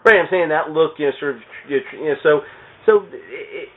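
A man chatting casually about comics in a halting way, repeating words and restarting his sentences. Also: a little high. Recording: thin, band-limited phone-like radio sound.

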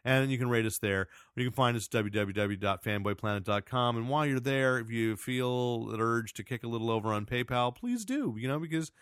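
Loud podcast host guy, rambly, dry close sound. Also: mid-range voice, brisk, hardly any pauses, clean tone, no room sound. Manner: rambling, self-correcting, chatty plugs. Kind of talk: casual podcast chat.

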